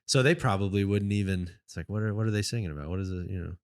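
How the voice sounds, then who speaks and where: A podcast host with a low-pitched man's voice who keeps up a talkative, slangy flow of chat. He sounds keen and relaxed, like he is talking shop with a friend. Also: rapid-fire, clear, resonant tone. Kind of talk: casual chat.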